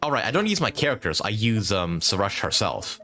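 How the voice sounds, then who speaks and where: A man's voice: on the low side, very animated, fairly quick, sharp tone, faint music behind. A man doing casual live commentary as a game streamer, thinking aloud as he plays and swearing casually.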